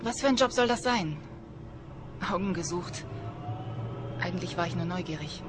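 A woman's voice: fairly low pitch, expressive, moderate pace, over loud music, close to an everyday voice. Cheeky, inquisitive young woman played by an actress. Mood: sceptical, teasing. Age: young adult. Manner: inquisitive, blunt, teasing. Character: curious, cheeky young female journalism student. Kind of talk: German crime radio play acting.

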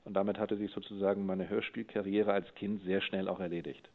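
A middle-aged man with a fairly low voice comes through a thin, phone-like line. He answers interview questions as a voice actor, in a conversational, storytelling way. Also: little pitch movement, brisk.

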